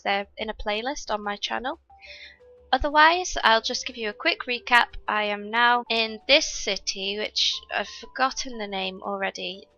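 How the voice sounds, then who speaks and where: A woman's voice: fairly high pitch, sharp tone, dull audio. A young woman recovering from a cold, chatting through her gameplay and explaining each step to viewers. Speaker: gaming YouTuber. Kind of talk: casual gameplay commentary.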